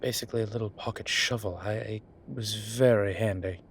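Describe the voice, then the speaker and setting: A man playing a polite, flirtatious incubus demon in an intimate roleplay audio drama, with hesitant, formal phrasing and a softness that turns breathy at times. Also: lowish voice, fairly even intonation, fairly quick, slight background noise, lightly acted. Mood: polite, playful. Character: courteous, flirtatious male incubus demon, restrained and apologetic.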